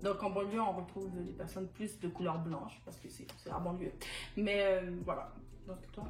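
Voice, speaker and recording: A woman's voice: medium pitch, fairly even intonation, moderate pace. A young woman hosts a casual panel, earnestly asking questions and sharing her own experience. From Quebec. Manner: earnest, asks questions, recounts personal experience. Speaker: talk show host. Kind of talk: moderating a casual discussion. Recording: echoey room, soft music.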